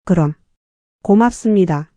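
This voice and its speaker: 남성이 연기하는 고마워하는 폐지 줍는 할머니. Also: male voice, high-pitched, unhurried, warm tone, no echo, pitched above the performer's own voice. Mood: grateful. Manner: humble, grateful. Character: kind old woman collecting scrap paper.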